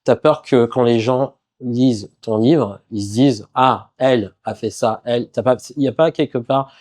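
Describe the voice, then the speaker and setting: A man hosting a podcast interview, asking questions in a casual, relaxed way with many fillers. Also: medium pitch, moderate pace. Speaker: podcast host.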